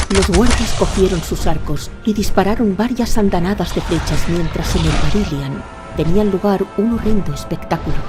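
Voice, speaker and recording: A woman giving scripted, dramatic narration of a fantasy tale. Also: fairly low pitch. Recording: voice over a prominent music bed.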